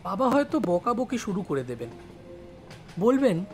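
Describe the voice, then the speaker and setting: A man playing a village schoolboy who studies in the city, telling his story in expressive first-person narration. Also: high-pitched, measured pace, faint music behind, exaggerated voice. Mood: suspenseful recounting. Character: village schoolboy studying in Kolkata, recalling his life.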